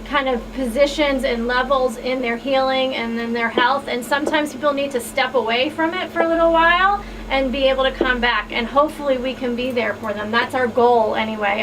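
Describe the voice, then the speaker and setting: A woman advocate explaining things informally on a talk show panel. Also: fairly high pitch. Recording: distant microphone, clearly noisy room.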